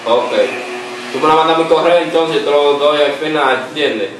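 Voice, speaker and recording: A man's voice: a little high, noisy background. Young man making a prank call. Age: young adult. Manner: halting, repeats himself, stifles laughs. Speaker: prank caller. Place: small living room, seated on a couch. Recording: echoey room, far from the mic.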